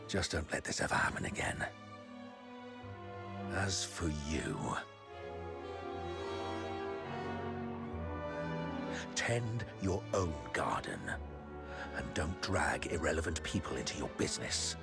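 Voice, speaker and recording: A man's voice: rumbling low, animated, measured pace, gravelly, over loud music, full character voice. A man voicing a stern, protective guardian in a video game, scolding in a curt, authoritative way. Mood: firm, subdued.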